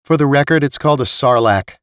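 An earnest, bantering young woman from an audiobook, acted by a man. The voice is lifted well up in pitch and insists on its point. It sits in a very dry space. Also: fairly quick, fairly bright tone, smooth, no echo, close to an everyday voice. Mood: snippy. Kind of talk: audiobook character dialogue.

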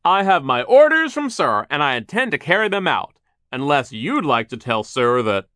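A man voicing a gruff, bullying mill foreman in an audiobook, harsh and menacing, with a curt bark. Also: on the high side, very animated, moderate pace, dry recording, studio quality, pitched above the performer's own voice. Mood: barking, bossy. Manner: barking, curt shouting.

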